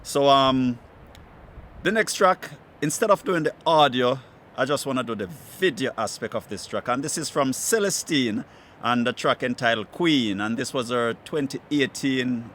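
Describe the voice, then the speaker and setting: A man's voice: medium pitch, a bit bright, slight background noise. A man hosting a music show, introducing things in a casual, laid-back conversational way.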